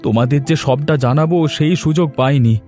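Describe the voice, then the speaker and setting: A young farmer husband acted by a man in a cartoon drama, fairly high for a man but mellow. He protests doubtfully over light music. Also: moderate pace, natural voice. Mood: apologetic. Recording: music behind the voice.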